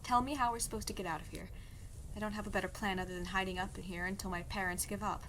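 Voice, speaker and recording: A woman's voice: medium pitch, fairly even intonation, quick pace, fairly bright tone, slight background noise, natural voice, poor audio. A woman playing a guarded, exasperated young princess in an audio drama, with a blunt, dry, doubtful way of talking. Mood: resigned, dry. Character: skeptical, exasperated young princess fleeing arranged marriage, guarded. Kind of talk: scripted audio drama acting.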